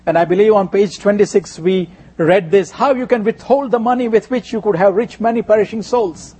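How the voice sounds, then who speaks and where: High-voiced Indian male preacher, husky. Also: a little fast, warm tone. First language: Gujarati. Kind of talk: sermon delivered in short phrases for an interpreter.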